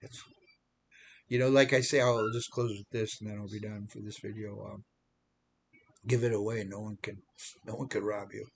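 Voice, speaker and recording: A man's voice: fairly low pitch, level tone, moderate pace, gravelly, long pauses, a little hiss or hum. Rambling seventy-year-old American man. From United States. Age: 70s. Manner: rambling, repeats words and restarts, self-deprecating. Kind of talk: personal testimony monologue.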